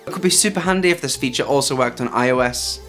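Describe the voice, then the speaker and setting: A man's voice: medium pitch, fast, a bit bright. A man hosting a tech video, narrating a scripted hands-on review. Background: background music.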